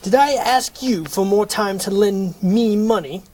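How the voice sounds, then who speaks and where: A man's voice: sits high, unhurried, darkish tone. A man reading the part of a stingy, cold miser in a comic table read, curt and dismissive. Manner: curt, dismissive, dryly haughty.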